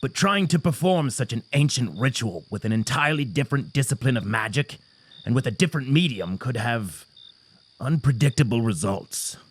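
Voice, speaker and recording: A man's voice: mid-range voice, animated, slightly rough. A man playing a cautious herald. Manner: cautious and measured. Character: cautious male herald skilled in blood magic. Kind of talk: audio drama acting.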